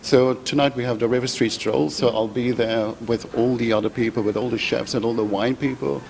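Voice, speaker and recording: A man's voice: mid-range voice, steady tone, a little fast, creaky, darkish tone, rough recording. A man from Belgium with a Belgian accent, enthusiastically explaining beer and food pairing in a live TV interview at an outdoor festival. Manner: upbeat, enthusiastic promoter. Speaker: beer sommelier guest. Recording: faint background noise at an event.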